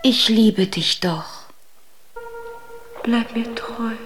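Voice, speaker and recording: A woman playing a tender, devoted water spirit who pleads in soft, breathy whispers. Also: a little high, very slow, music in the background. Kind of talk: radio drama acting.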